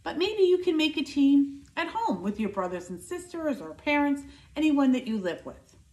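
A woman in her fifties reading a children's picture book aloud indoors, with expressive, deliberate, emphatic delivery. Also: high-pitched. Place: living room by a fireplace, indoors. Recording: roomy, echoing indoor sound.

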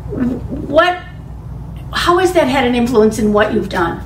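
A woman's voice: a little high, expressive, unhurried, a little hiss or hum. An older woman interviewing a guest, conversational and thinking aloud as she asks questions. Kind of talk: panel interview. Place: meeting room in front of a large screen. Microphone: far camera mic. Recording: echoey room from far off.

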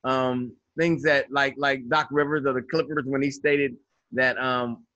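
A man's voice: medium pitch, fairly even intonation, a little slow, fairly bright tone, no room sound, dull audio. A man in his fifties, a guest educator speaking in an interview over a video call, earnest and reflective, often restarting his phrasing. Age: 50s. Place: indoors by a window. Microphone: video call webcam.

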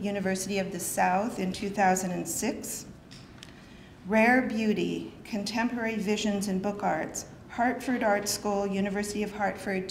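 A woman's voice: medium pitch, slight background noise. A middle-aged woman hosting the event, reading a formal introduction at a podium, with brief unscripted asides. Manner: reads a list of titles, with brief asides. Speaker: event host introducing the speaker. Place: lecture hall with wood paneling. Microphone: podium microphone. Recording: echoey hall, faint ambience.

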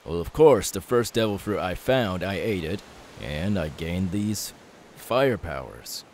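A man playing a confident, friendly young pirate captain in an ASMR audio roleplay, easygoing, unhurried and reassuring. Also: low-pitched. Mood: confident, relaxed. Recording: steady background hiss.

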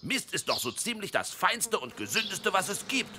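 A woman's voice: medium pitch, sing-song, normal speed, bright, forceful tone, a little hiss or hum. A woman voicing a smug, boastful little male beetle in a children's cartoon, speaking with great emphasis. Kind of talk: children's animated audio-story acting.

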